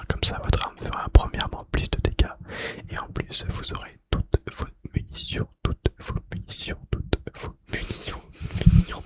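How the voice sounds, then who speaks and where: Whispering man doing ASMR. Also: fairly high pitch. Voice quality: breathy unvoiced whisper. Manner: hushed, repeats key phrases slowly and softly. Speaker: ASMR video creator. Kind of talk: whispered ASMR gaming trivia. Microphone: close microphone.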